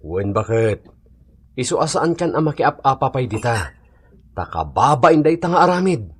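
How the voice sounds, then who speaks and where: A man's voice: medium pitch, sing-song, normal speed, slightly rough. A man playing a level-headed, peace-keeping husband and father in a radio comedy drama, reasoning and admonishing. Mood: firm admonishing.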